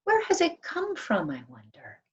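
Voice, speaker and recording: A woman voicing an excitable, curious little girl elf in a children's storybook, earnest and exclaiming. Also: high voice, normal speed, highs cut off, pitched above the performer's own voice. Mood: wondering.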